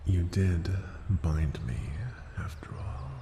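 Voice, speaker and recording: An ancient dragon, played by a man, speaks in a very deep, gravelly voice, drawing out each phrase extremely slowly. He is haughty and possessive, tenderly menacing in a formal, intimate fantasy ASMR roleplay, often sinking toward a breathy whisper. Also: sing-song, warm tone, echoey room, a little hiss or hum, full character voice. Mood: musing, intrigued. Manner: slow, deliberate, formal, condescending, often dropping to a breathy whisper.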